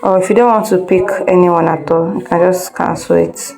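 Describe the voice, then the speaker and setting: A woman's voice: on the low side, steady tone, moderate pace, vocal fry, darkish tone, poor audio. A woman teaching an informal step-by-step screen tutorial, instructing directly, repeating herself and checking that learners follow. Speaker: video editing instructor.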